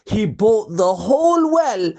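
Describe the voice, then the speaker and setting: Slow, expressive children's storyteller. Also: mid-range voice. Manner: expressive, asks listeners rhetorical questions. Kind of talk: scripted children's religious storytelling.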